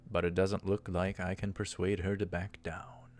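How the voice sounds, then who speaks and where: Male let's play host, low pitch, narrating and commenting on a visual novel over background music. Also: little pitch movement, normal speed, darkish tone.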